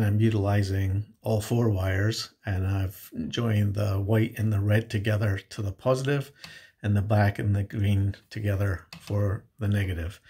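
A man narrating an informal how-to tutorial, explaining things in halting, hesitant phrases. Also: on the low side, mellow. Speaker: DIY home tech presenter.

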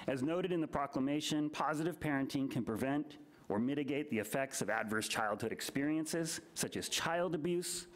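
A man in his forties giving prepared public remarks at a podium microphone in a large board chamber, measured and earnest. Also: medium pitch, poor audio. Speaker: public commenter, nonprofit director. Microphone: podium microphone, standing.

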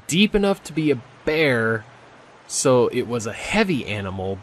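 An actor as an uneasy male park ranger in an audio drama. He speaks slowly and haltingly, with lively swings of pitch. There is clear ambient noise behind him. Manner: halting, hesitant.

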